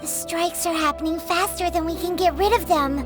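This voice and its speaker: A woman voicing a tiny, curious, excitable fairy companion in a video game, with a squeaky childlike voice. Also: normal speed, music in the background. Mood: confused. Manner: speaks of herself in third person. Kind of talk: video game character dialogue.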